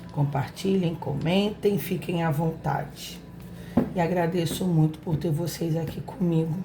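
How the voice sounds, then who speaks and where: Hoarse, slow-talking Brazilian woman. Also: lowish voice, steady tone, background noise. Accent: Brazilian Portuguese. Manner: affectionate, chatty, talks straight to viewers. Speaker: tarot reader, channel host. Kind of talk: casual spiritual reading to camera.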